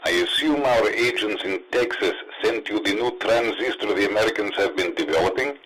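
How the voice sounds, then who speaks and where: Soviet handler in a radio drama, clipped and proud, lower voice. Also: male voice, expressive, brisk, a bit bright, low-quality recording. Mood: commanding.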